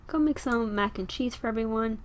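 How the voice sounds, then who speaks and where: A young woman with a slightly raspy, tired voice chatting casually as she plays a life-sim game, rambling and talking to her characters. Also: a little high, brisk. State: tired, sore throat. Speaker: gameplay video host. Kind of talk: casual gameplay commentary.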